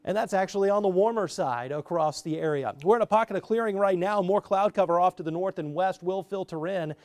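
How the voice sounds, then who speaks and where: A man's voice: sits high, fairly quick, darkish tone. An American man working as a TV weather forecaster, ad-libbing his report and chatting on a morning news show. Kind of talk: ad-libbed weather report and anchor chat. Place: TV news studio.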